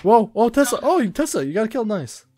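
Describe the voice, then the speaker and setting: A man hosting a gaming video, chatting and shouting excitedly with friends on a voice call. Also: high-pitched, brisk, slight background noise. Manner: loud, excitable, yelling during fights. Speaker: gaming channel host.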